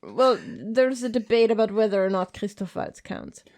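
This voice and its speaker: A woman's voice: medium pitch, normal speed, a little mellow. Woman from Austria, casual commentary chat, joking, loose. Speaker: podcast co-host.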